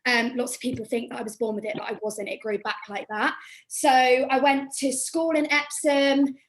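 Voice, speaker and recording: A woman's voice: high-pitched, flat delivery, normal speed, a little hiss or hum, rough recording. A young woman from England, chatty and self-deprecating as she takes part in an acting workshop over a video call. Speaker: workshop participant. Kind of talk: casual chat.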